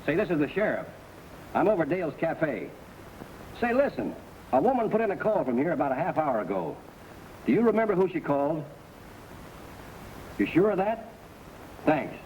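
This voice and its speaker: No-nonsense sheriff, stern, a touch high in pitch, vintage western audio with noise. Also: male voice, sing-song, normal speed, pauses often, a little mellow. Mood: questioning. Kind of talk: old-time western drama acting. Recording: noisy old western soundtrack.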